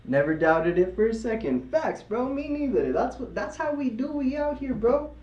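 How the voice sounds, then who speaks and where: A man's voice: very high voice, big pitch swings, moderate pace, darkish tone, highs cut off. A man with a light voice hosting a live trading stream, chatting casually with viewers and cheering on his trades. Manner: hyped, cheering and chanting during trades.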